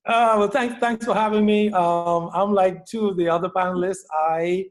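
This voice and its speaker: A man's voice: sits high, fairly even intonation, moderate pace. A man in his sixties with a Bahamian accent, talking casually as a panelist and repeating short phrases as he goes. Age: 60s.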